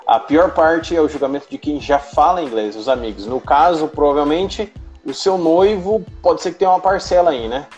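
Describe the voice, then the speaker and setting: Mid-pitched live-stream host, faint music. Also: moderate pace, hardly any pauses, a little mellow. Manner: encouraging, mixes in English words. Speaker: host, English teacher. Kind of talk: casual live interview chat.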